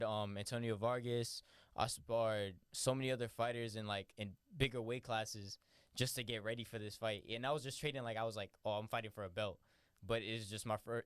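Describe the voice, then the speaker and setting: Young man, low voice, smooth tone, dry close sound, relaxed rambling talk. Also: no room sound. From born in New York, raised in Florida. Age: young adult. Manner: relaxed, rambling, heavy use of "like" and "you know". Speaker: professional boxer, interview guest. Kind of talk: casual interview talk.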